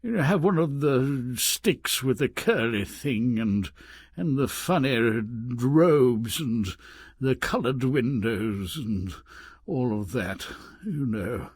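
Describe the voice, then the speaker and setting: Slow, husky henpecked husband. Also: male voice, medium pitch, animated, dry recording. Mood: meek, placating. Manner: hesitant, mumbling, apologetic. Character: meek, henpecked, doting fisherman husband. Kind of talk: cartoonish game fairy-tale acting.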